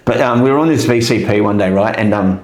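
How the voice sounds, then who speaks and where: A middle-aged man with an Australian accent, telling rambling army stories in a relaxed podcast chat, with lots of ums. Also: on the low side, quick pace, echoey room. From New Zealand. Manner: rambling, laid-back, many ums. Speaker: podcast guest, army veteran. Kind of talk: casual storytelling chat.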